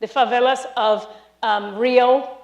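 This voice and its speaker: A woman from Trinidad with a Trinidadian accent, a lecturer giving a lively, emphatic live talk to an audience in a large hall. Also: fairly high pitch, takes it slowly, muffled recording. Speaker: speaker, university lecturer.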